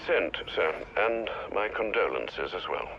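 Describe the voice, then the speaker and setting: An actor playing an older, solicitous butler in a video game, formal, polite and gently dry. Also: male voice, sits low, animated, measured pace, highs cut off, very noisy. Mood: concerned. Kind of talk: dramatic video game acting.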